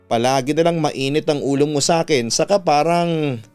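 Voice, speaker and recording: A Filipino man voicing a gentle, hurt younger sister who speaks earnestly and pleadingly in a narrated radio drama story. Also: on the high side, moderate pace, clean tone, soft background music, lightly acted. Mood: sad, questioning. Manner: earnest, plaintive.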